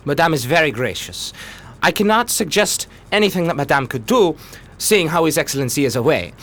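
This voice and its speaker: A man's voice: fairly high pitch, animated, a little fast, fairly bright tone. A man voicing a nervous, deferential official who speaks with polite hesitation in a radio drama. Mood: evasive. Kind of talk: amateur radio drama acting.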